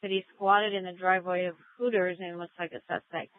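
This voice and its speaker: A woman's voice: on the low side, level tone, fairly quick, no echo. A woman working as a police dispatcher, relaying procedural information over radio and repeating key details. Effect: police radio transmission. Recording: tinny narrow-band police scanner audio.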